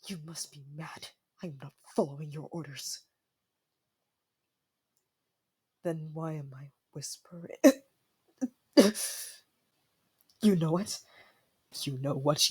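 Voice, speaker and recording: A man playing a haughty, easily flustered young winter prince in a fantasy ASMR roleplay, speaking formally and imperiously with a breathy voice. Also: on the high side, exaggerated voice. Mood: defiant, hushed.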